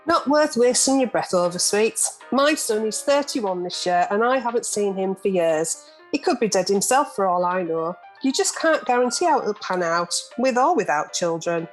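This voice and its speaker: A woman's voice: mid-range voice, lively intonation, moderate pace, natural voice, low-quality recording. A woman playing a middle-aged, hard-bitten and dismissive mother in a radio play, speaking bluntly. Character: hard-bitten, detached mother of a grown son. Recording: video call recording with music.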